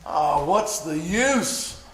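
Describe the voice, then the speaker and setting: A man playing an anxious university chancellor facing doom in an old-time radio thriller, fretful and halting. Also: on the high side, animated. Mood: horrified. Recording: roomy live stage, faint noise.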